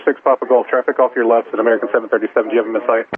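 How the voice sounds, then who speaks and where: A man's voice: mid-range voice, little pitch movement, very fast. A man working as an air traffic controller, giving clipped procedural instructions to aircraft over aviation radio. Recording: tinny narrowband radio with faint static.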